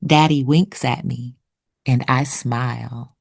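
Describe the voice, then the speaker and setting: Low-voiced woman reading a picture book, unhurried. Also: steady tone, vocal fry, slightly rough. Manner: deliberate, storytelling delivery with dramatic pauses. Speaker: children's read-aloud narrator.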